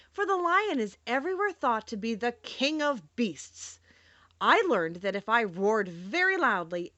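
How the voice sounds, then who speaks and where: A woman's voice: high-pitched, bright, forceful tone, no echo, dull audio, exaggerated voice. A woman reading aloud and voicing a big male lion who is a sorrowful coward, in a plaintive, self-pitying manner. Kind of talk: audiobook character reading.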